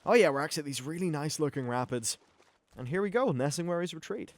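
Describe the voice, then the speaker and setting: A man's voice: fairly high pitch, animated, fast, no room sound. A man giving casual live-play game commentary in a chatty, wry, casually sweary way. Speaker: gaming video host. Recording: clear background noise and game ambience.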